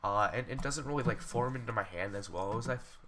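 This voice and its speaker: A man's voice: medium pitch, a little fast, sharp tone. A young man with a cold, sounding congested, casually reviewing computer mice and rambling with fillers and restarts. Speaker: tech reviewer. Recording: faint background noise, poor quality capture.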